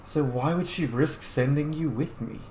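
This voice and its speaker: A man's voice: on the high side, moderate pace, a little mellow. A man casually role-playing his game character and commenting as he plays, with laid-back, understated reactions. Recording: echoey room, faint noise.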